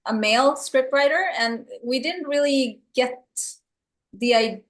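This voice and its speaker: A woman's voice: high voice, unhurried. A middle-aged woman from El Salvador, a filmmaker speaking English with a Swedish and San Francisco accent, answering interview questions earnestly and often repeating words as she searches for them. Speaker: filmmaker guest.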